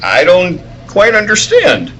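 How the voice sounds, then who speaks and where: A man's voice: high-pitched, huge pitch swings, slow, a bit bright, heavily acted. A man playing a mild, earnest, hesitant young newspaper reporter in a 1940s radio serial read live. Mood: bashful. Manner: hesitant, polite. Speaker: table-read performer. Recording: noisy convention floor ambience. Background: convention hall noise.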